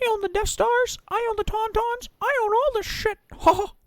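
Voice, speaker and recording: A man voicing a squeaky, gloating male overlord who brags about owning everything, in a shrill falsetto with a cackle. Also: steady tone, normal speed, dry recording. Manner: boastful, cackling.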